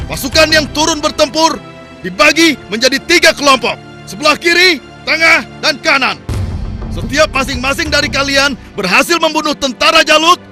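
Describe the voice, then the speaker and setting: A man's voice: very high voice, sharp tone, exaggerated voice. A man playing a devout, rousing army commander in a religious cartoon, solemn and commanding in his declamation. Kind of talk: Indonesian religious cartoon dub.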